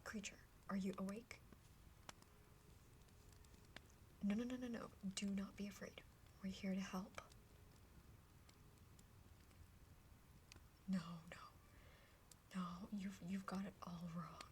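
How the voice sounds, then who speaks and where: A woman voicing a haughty, blunt older half-dragon sister in a breathy whispered ASMR roleplay. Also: mid-range voice, fairly even intonation, moderate pace, darkish tone, lightly acted. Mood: reassuring. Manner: condescending, bluntly spoken. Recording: clearly noisy background with clicks.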